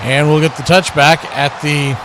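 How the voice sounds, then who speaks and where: Higher-pitched American man calling football, noisy background. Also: fairly even intonation, moderate pace. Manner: clipped short phrases calling each action. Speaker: play-by-play announcer for an online watch party stream. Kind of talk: live American football play-by-play commentary. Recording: clear background noise.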